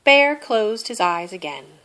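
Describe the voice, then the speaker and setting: A woman reading a children's story aloud as an expressive narrator. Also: mid-range voice, slow pace, background noise. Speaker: storybook reader.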